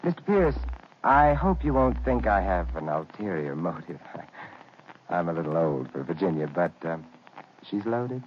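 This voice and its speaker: A wry, smooth gentleman sleuth in a vintage radio drama. His voice sits low but swings widely through each line. The old broadcast sounds dull and muffled, with a faint hiss underneath. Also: very animated. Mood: amused, probing. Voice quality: smooth, dryly witty, unhurried. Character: suave gentleman detective, male, wry. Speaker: lead detective. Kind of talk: 1940s radio acting.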